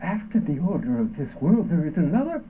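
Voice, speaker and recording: A man's voice: a little high, very animated, mellow, breathy edge, heavily acted. Man acting a wildly swooping, anguished philosopher. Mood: solemn. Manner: halting, theatrical, swooping declamation. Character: anguished philosophical man haunted by his own body. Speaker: stage actor. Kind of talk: avant-garde theatrical performance of a radio play. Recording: dull, muffled stage recording with noise.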